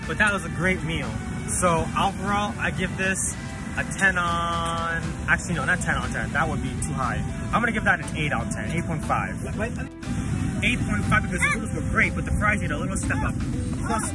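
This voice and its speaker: A man's voice: on the high side, animated, normal speed. A young man chatting to a phone held at arm's length in an open beach hut, rating his food out of ten. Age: young adult. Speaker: vlogger. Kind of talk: casual travel vlog. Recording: loud background music.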